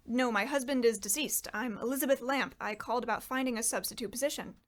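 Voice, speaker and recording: Woman playing a high-voiced, polite, desperate widow. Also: fast, lightly acted. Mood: polite, uncertain. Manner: polite, pleading. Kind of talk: scripted comedy radio play acting.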